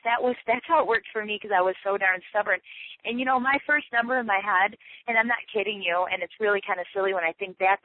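A woman coaching her sales team over a tinny phone line, and the sound is flat and dry with no room around it. She talks in an emphatic, chatty way and drives each point home by repeating it. Her voice sits at an ordinary middle pitch. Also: no echo. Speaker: direct-sales team leader. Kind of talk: motivational sales training talk. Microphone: phone call.

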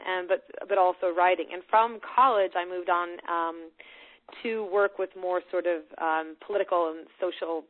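A woman's voice: fairly low pitch, fairly even intonation, normal speed. A young woman whose first language is Swedish, speaking as an interview guest, thoughtful and hesitant with fillers. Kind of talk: casual interview chat. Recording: tinny telephone line.

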